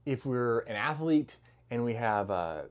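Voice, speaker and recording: A man's voice: mid-range voice, expressive, unhurried, clear, resonant tone. Middle-aged guy, clinician vibe, rambly casual health talk, lots of "you know"s. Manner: loose, conversational, many fillers and restarts. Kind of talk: casual educational talk to camera.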